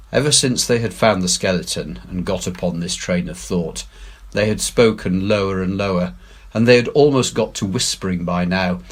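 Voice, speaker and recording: A man giving a dramatic, measured, expressive reading of an adventure novel. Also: sits low. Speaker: story reader, head teacher.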